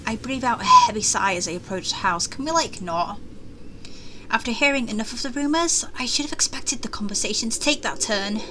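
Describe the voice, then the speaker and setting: Woman, animated intonation, gaming host, reading narration aloud with casual reactions, clear noise and ambience behind. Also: on the high side, a little fast.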